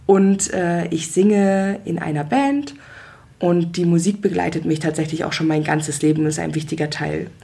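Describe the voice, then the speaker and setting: A woman in her thirties hosting a personal podcast, talking in a relaxed way with hesitant fillers. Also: on the low side, moderate pace, vocal fry. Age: 30s. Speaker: podcast host.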